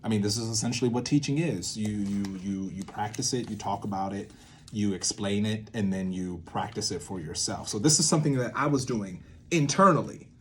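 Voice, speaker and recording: A man giving an informal creative lesson to camera, thinking aloud in an animated way with swings in emphasis. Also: lowish voice, fairly quick, a little hiss or hum. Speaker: creator and host teaching a creative method. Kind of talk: informal instructional monologue to camera.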